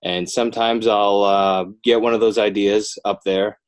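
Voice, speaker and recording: Deep-voiced American man, halting. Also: flat delivery, smooth. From northern New Jersey, United States. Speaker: interview guest, children's book author and illustrator. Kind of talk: casual interview talk.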